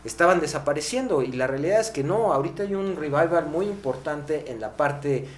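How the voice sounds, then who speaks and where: A Mexican man hosting a podcast, explaining conversationally and haltingly, often tagging his phrases with a questioning word. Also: on the high side, animated. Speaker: podcast host. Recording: echoey room, clear background noise, hum.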